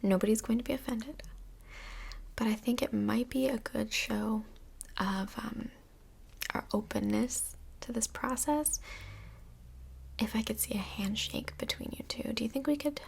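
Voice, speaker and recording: A woman playing a kindly, tactful couples' counselor in a soft-spoken ASMR role play, whispery, breathy and gently reassuring, with some hesitation. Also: on the high side, full character voice. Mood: reassuring, hopeful.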